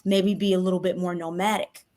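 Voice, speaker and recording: A woman's voice: fairly low pitch, flat delivery, brisk, darkish tone. A woman co-hosting a casual chat, reflective and encouraging in how she talks. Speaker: co-host.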